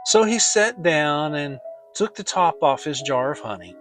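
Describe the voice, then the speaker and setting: A man giving an expressive, dramatic reading of a children's story as narrator. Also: fairly high pitch, measured pace, soft background music. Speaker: audiobook narrator.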